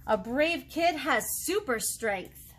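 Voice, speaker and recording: High female voice, thirties, children's storytime reader, slow pace, expressive, question-asking asides, indoor room. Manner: expressive, child-directed, asks questions and pauses. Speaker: children's librarian, storytime host. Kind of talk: reading a picture book aloud to young children, with asides.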